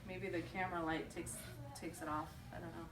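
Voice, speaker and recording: A woman reading a picture book aloud to young children, pausing to question them and repeat their answers back. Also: lowish voice, little pitch movement. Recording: roomy echo, distant mic, faint noise.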